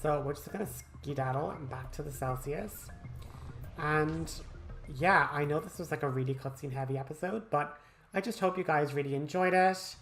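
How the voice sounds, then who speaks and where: Woman, low voice, gaming video host, casual walkthrough commentary, informal, direct to viewers, soft game music. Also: normal speed.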